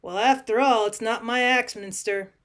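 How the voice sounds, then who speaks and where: A woman's voice: fairly high pitch, normal speed, a bit bright, natural voice. A woman voicing a coldly sardonic talking male cat in a storybook reading, haughty, unruffled and cutting. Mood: cool disdain. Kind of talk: audiobook character voice, British classic short story.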